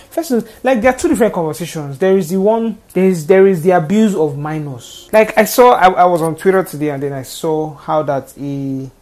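A woman's voice: low-pitched, a little mellow. A woman with a Nigerian accent and a small, thin voice, giving an earnest off-the-cuff talk on social issues, often repeating phrases for emphasis.

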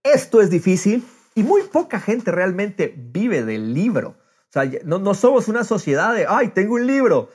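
A man with a fairly high, husky voice talks casually as if on a podcast. His pitch swings widely and lively, and he slips English phrases into his sentences. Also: moderate pace. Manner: drops English phrases into Spanish. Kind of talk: casual conversational podcast talk.

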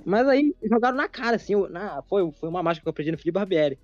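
A young Brazilian man in casual chat, excitable and slangy, swearing often. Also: sits high, rapid-fire, no echo, dull audio, soft background music. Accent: Brazilian Portuguese.

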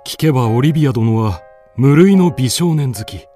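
An actor playing a dignified, lovestruck duke in a radio drama, speaking in a lordly, formal, theatrical and earnest way. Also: male voice, medium pitch, lively intonation, moderate pace, dark tone, faint music behind, close to an everyday voice.

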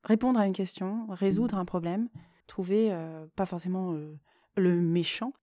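A woman talking reflectively on her own as a podcast host, thoughtful and hesitant, often pausing mid-phrase. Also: on the low side, warm tone, dry recording, clean studio sound. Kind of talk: reflective solo podcast talk.

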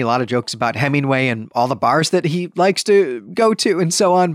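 A man's voice: mid-range voice, no room sound, clean studio sound. A man hosting a book review podcast, speaking in an emphatic, opinionated and conversational way. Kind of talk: podcast book review, reading passages and commenting.

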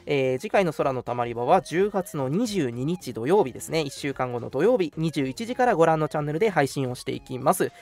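A man's voice: fairly high pitch, expressive, a little fast, hardly any pauses, a little mellow. A man hosting a casual radio show, chatting politely and making announcements over background music.

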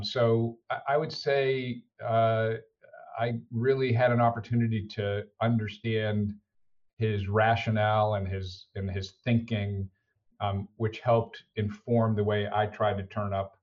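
A man's voice: fairly low pitch, flat delivery, unhurried, dull audio. A middle-aged man with an American accent, speaking conversationally and hesitantly as a podcast interview guest. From United States. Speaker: interview guest, senior executive.

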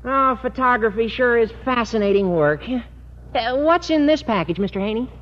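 A man's voice: extremely high pitch, animated, moderate pace. A muffled, reverberant vintage radio recording of a teenage schoolboy. He is eager and deferential, speaking with gawky hesitation. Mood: eager, awkward. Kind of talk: 1940s-50s radio comedy acting. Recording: muffled old radio recording, long reverb, faint noise.

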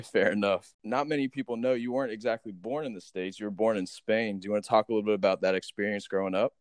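A man's voice: on the low side, steady tone, quick pace, dry recording, clean studio sound. A man hosting an interview show, asking questions in a casual, conversational style.

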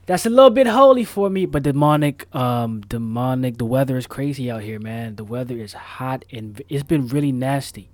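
A man's voice: mid-range voice, moderate pace, few pauses, dry recording. A man with an ordinary mid-range voice chats casually on a podcast. He rambles, repeats himself and drops slang. The recording is dry, with no room sound. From Florida. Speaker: podcast co-host.

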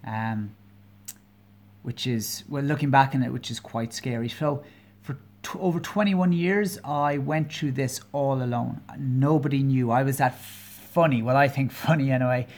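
A man of forty with a Kerry Irish accent, hosting his own podcast alone and talking in an earnest, halting way with many pauses and fillers. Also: medium pitch, darkish tone. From Ireland. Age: 40s. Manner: candid, openly personal. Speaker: podcast host. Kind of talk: solo podcast monologue.

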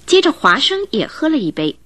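A woman's voice: high-pitched, sing-song, fairly quick, a bit bright. Woman narrating a radio drama.

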